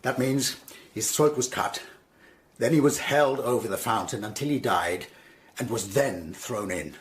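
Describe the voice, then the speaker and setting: An actor playing a grave, methodical Tudor physician in a radio drama, explaining in a measured way. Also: male voice, fairly low pitch, husky. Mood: formal testimony.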